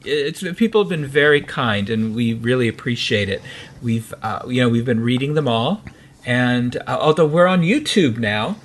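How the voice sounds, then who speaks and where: Chatty American podcast co-host. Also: male voice, mid-range voice, animated, normal speed, slight background noise. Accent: General American. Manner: chatty, with frequent restarts and fillers. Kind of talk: casual podcast chat.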